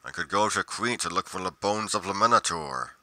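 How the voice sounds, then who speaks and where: A man playing a brooding, solitary monster investigator in a roleplay monologue, musing deliberately with pauses mid-sentence. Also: low voice, animated, sharp tone, no room sound, heavily acted. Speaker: roleplay performer.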